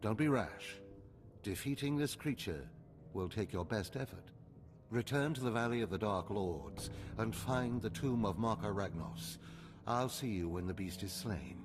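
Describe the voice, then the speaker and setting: An actor playing a stern, haughty older Sith overseer in a video game, clipped, lecturing and condescending. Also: male voice, fairly low pitch, expressive, a little slow. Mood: cautioning. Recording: loud music behind.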